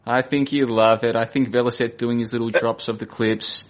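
A man's voice: mid-range voice, level tone, normal speed, over a noisy crowd. A man hosting a casual chat show, chatty and teasing with his guests. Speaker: host.

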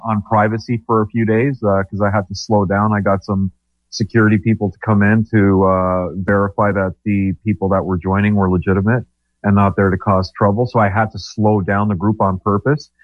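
Guy with a low voice, stops and restarts a lot, muffled lo-fi sound. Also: level tone, no room sound. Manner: halting, many restarts. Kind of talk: casual chat. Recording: dull lo-fi line.

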